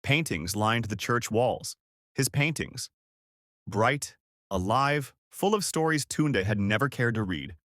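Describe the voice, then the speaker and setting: A man's voice: mid-range voice, expressive, slow, a bit bright, no room sound, clean studio sound. A man narrating a scripted story as a storyteller.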